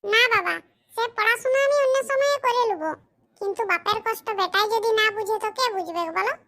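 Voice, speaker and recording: A performer voicing a dutiful young village son in a comedy cartoon, with a shrill pitched-up voice. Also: a little fast, noisy background. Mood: earnest.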